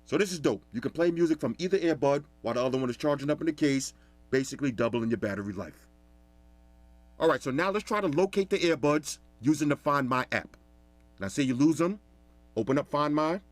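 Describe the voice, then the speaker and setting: A loud, animated man talks through a gadget review in a relaxed, chatty way. His voice sits a bit high for a man. The sound is dry and close, with no room echo. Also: brisk. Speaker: tech reviewer. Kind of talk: casual product review.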